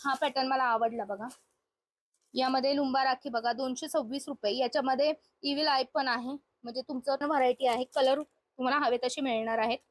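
A woman's voice: high-pitched, steady tone, a little fast. A woman hosting a shopping vlog, showing products to viewers in an unscripted, conversational way. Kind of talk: spontaneous product showcase, presenting to viewers and chatting with the seller.

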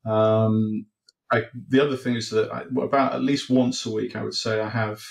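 Brief: middle-aged man from Wales, home office video call, lowish steady voice, halting delivery. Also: vocal fry. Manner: hesitant, many ums and restarts. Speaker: interview guest, developer advocate. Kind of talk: casual interview answers. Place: home office with bookshelves, indoors. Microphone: video call webcam.

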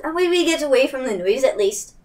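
A woman's voice: high-pitched, animated, fairly quick, darkish tone, recorded from a distance. A woman talking casually as a player in a tabletop game. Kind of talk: casual chat.

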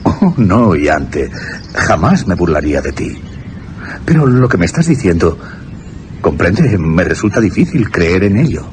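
An actor playing an earnest, dreamy young English gentleman archaeologist in a Spanish radio drama. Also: low-pitched, measured pace, a little hiss or hum. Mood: incredulous, troubled. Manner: dreamy, expressive delivery. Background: music behind the voice.

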